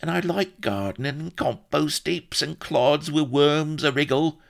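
Wheedling old West Country rustic. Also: male voice, on the low side, very animated, slow, gravelly, voice pushed up, heavily acted. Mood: shifty, defensive. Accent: English West Country. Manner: rambling, wheedling, dialect-heavy. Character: shifty, whimpering old rustic castaway man.

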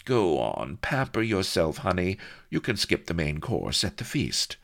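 A man's voice: low voice, big pitch swings, measured pace, gravelly, a bit bright, full character voice. A man voicing a worldly, practical young woman attendant who talks in blunt, slangy wisecracks. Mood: wisecracking.

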